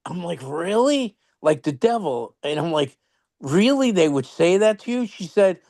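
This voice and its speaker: A man with a New York accent telling animated stories in a casual online chat, full of restarts and chuckles. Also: sits high, a little slow, no echo, studio quality. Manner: animated, laughing anecdotes with many restarts. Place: online video meeting.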